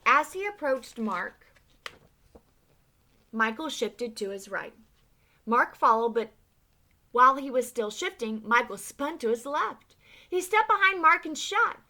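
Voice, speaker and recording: Expressive children's-book reader, woman, bright tone. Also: high-pitched. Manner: expressive, pauses to ask the listener questions. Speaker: read-aloud storyteller. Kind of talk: reading a children's picture book aloud.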